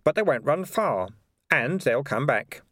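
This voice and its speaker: A man voicing a cool, quietly menacing male cat who speaks with calm confidence. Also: mid-range voice, a little slow, no echo, studio quality, pitched above the performer's own voice. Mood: persuasive. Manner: smooth and quietly threatening.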